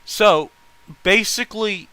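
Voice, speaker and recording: A man's voice: sits high, slow pace, sharp tone, clear, resonant tone, no echo, low-quality recording. A man reading a story aloud and breaking off for blunt, exasperated, sweary commentary. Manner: exasperated, blunt, incredulous asides. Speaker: host reading fan fiction aloud.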